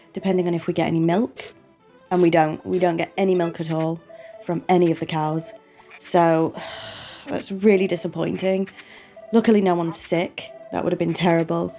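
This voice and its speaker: A woman's voice: lowish voice, warm tone. Sniffly woman commentating a game. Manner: apologetic, chatty, talks the viewer through each step. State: sniffly and losing her voice. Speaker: gaming channel host. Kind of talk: relaxed let's-play commentary.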